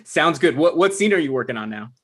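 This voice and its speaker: A slightly high-pitched man acting as an eager, hapless would-be screenwriter. He reads in a casual, everyday comedy table-read manner. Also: animated, fast, a bit bright. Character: eager, hapless aspiring TV writer living with his mother.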